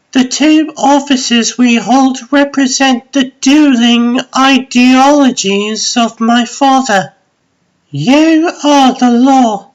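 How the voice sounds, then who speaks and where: A woman's voice: high-pitched, highs cut off. A woman playing a composed, clever young scientist in a comic dub, speaking precisely and formally. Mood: composed, confident.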